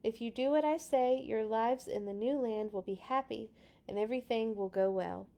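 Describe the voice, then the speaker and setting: A woman's voice: on the high side, moderate pace, vocal fry, mellow. Woman reading as God, emphatic and assuring. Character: God, a loving, solemn, promising male deity.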